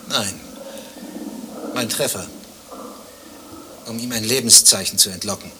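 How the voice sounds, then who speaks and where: A man's voice: on the low side, measured pace, noisy background, lightly acted. An actor playing a contrarian, dryly philosophical zoo visitor in a radio play, pedantic and probing in his talk. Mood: dry, dismissive.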